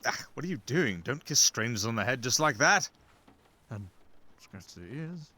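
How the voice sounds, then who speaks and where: Talking fox sidekick voiced by an actor, fantasy audio drama. Dry, nagging, jealous; mid pitch, very lively intonation. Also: moderate pace. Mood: jealous, indignant. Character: sarcastic, jealous talking fox familiar named Paul.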